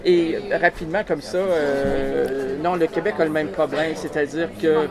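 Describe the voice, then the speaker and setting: A man's voice: a little high, steady tone, a little slow, music in the background. A Québécois man in a casual chat, explaining things earnestly at length with many hesitations, on a busy outdoor café terrace. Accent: Québécois French. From Quebec. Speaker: conversation partner. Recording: loud crowd chatter behind.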